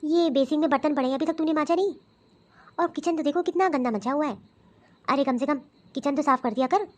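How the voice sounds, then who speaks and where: A woman's voice: sits high, quick pace, natural voice. A woman acting as a bossy, scheming older mother-in-law who scolds and gives orders in a family skit. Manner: scolding, commanding, grumbling to herself.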